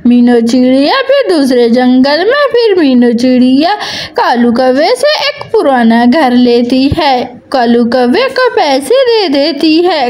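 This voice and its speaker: A woman narrating a children's bird cartoon in an animated storybook style, repeating phrases as she explains. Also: high-pitched.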